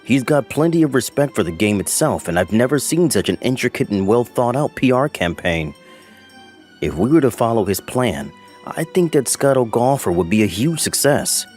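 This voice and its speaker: A man's voice: mid-range voice, sing-song, normal speed, darkish tone, faint music behind, close to an everyday voice. A man playing a stern, formal, authoritative company boss in a manga dub. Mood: formal, measured.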